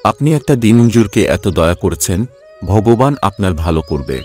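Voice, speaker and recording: A man's voice: lowish voice, animated, brisk, darkish tone, soft background music, lightly acted. A man playing a humble, hardworking poor father in a cartoon story, speaking earnestly and reassuringly. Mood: earnest pleading. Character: hardworking, self-sacrificing poor labourer father, devoted and humble. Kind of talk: Bengali cartoon story voice acting.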